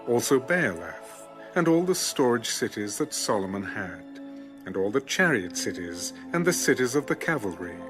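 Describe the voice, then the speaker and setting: A man narrating scripture from a script in a measured, formal way. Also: medium pitch, big pitch swings, over music. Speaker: narrator of an audio Bible.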